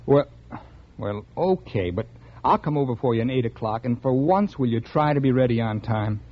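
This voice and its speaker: An actor playing a smug, scheming mystery writer, with an American accent and polished, theatrical 1950s radio delivery. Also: male voice, mid-range voice, expressive, moderate pace, lightly acted. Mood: brisk, dismissive. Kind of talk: 1950s radio acting, first-person narration. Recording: dull old radio recording.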